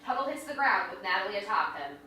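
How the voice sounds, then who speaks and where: A woman's voice: mid-range voice, sing-song, fast, pushed and bright. A woman reading stage directions as dramatic scripted narration. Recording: echoey hall from far off, faint noise.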